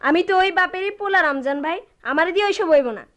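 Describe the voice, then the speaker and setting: A very high-pitched, quick-talking village boy from a radio play. He is honest, earnest and blunt, speaking in a rural Bangladeshi dialect with a bright, sharp tone. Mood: earnest, disapproving. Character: honest, plain-spoken young village boy, goldsmith's apprentice. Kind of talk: Bengali radio drama acting.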